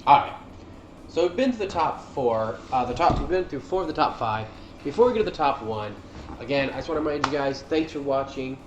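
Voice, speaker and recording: A man's voice: medium pitch, lively intonation, brisk, highs cut off, noisy background. Man doing casual commentary in a roomy echo. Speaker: video creator commenting on game cutscenes. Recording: roomy echo, music behind. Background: game cutscene music.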